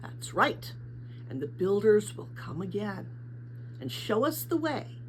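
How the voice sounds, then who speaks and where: Woman acting out storybook woman captain, kindly, patronizing, earnest believer, animated pitch, some background noise. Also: slow, rough recording. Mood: hushed, fervent. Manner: soothing, patronizing, fervent.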